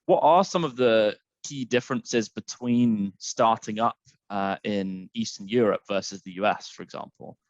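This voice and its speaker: A man's voice: lowish voice, normal speed, dry recording, dull audio. A man hosting a podcast interview, asking questions in a light manner and laughing easily. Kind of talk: casual interview chat.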